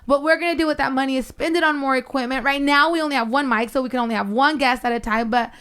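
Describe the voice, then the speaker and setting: Woman, high-pitched voice, podcast host, casual, enthusiastic, mixes in Spanish. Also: steady tone, quick pace, fairly bright tone, clean tone. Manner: enthusiastic, often switches into Spanish words.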